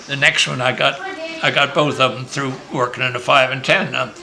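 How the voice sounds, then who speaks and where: A man's voice: mid-range voice, little pitch movement, brisk, vocal fry, a crowd in the background. An older man from Maryland, a grandfather telling his life story in a rambling, joking way during a casual family interview at home. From Maryland, USA.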